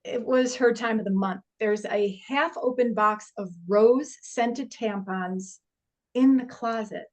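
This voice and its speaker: A woman's voice: a little high, takes it slowly. A woman playing a middle-aged forensic investigator at a film table read, matter-of-fact and wry, with a hesitant conversational delivery. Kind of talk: actor's table read of an indie horror film.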